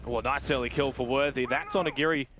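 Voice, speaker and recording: A man commentating an esports match in a relaxed, conversational way, calling the action. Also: mid-range voice, fairly quick, background noise. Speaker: esports caster. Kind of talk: play-by-play commentary.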